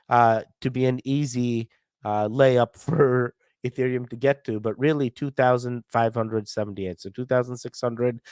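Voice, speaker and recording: Congested man, livestream host, chatty, mid-pitched, dry close sound. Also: no echo. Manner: chatty, talks straight to viewers and chat. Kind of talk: casual livestream chart analysis. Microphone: headphones with mic.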